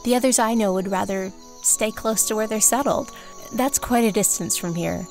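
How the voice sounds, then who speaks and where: An actress playing a gentle, earnest dye maker who gathers plants, speaking politely in a fantasy audio drama. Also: female voice, medium pitch, moderate pace, soft background music, lightly acted. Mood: earnest reassurance. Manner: polite, admiring, reassuring.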